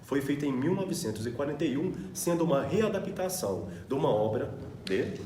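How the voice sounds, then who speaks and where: A man's voice: a little high, animated, a little fast, mellow. A man with a Brazilian Portuguese accent presenting a student radio program as co-host, in a semi-scripted style. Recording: roomy echo, faint background noise.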